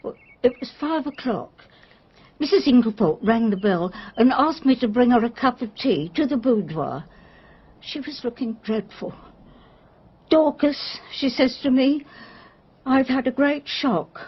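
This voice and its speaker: An actress playing a loyal, deferential, gossipy English housekeeper with an English accent, flustered and animated, in a radio drama. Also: female voice, on the high side, unhurried, slightly rough, dull audio. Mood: confiding.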